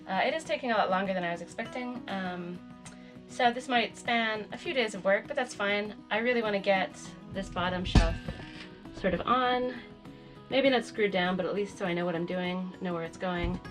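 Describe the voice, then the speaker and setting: Chatty woman with a stuffy nose. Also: mid-range voice. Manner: relaxed, chatty, self-deprecating. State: stuffy nose from a head cold. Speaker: DIY vlogger. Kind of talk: casual vlog narration while working. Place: off-grid tiny house workshop.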